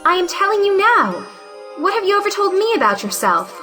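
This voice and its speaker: Woman playing spirited young lady, high-pitched, bright forceful tone, frank, dignified, period comedy audio play. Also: sing-song, fairly quick, soft background music, exaggerated voice. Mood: accusing. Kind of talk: audio play acting of a 1910s stage comedy.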